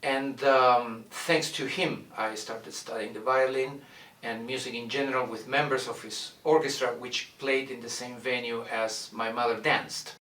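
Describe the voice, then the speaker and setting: A man's voice: medium pitch, fairly even intonation, sharp tone, distant mic. Man speaking in a roomy echo. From Argentina. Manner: measured, frequent "uh" pauses while searching for words. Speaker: violin professor and orchestra director. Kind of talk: recorded introduction to his studio. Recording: roomy echo, mic set back.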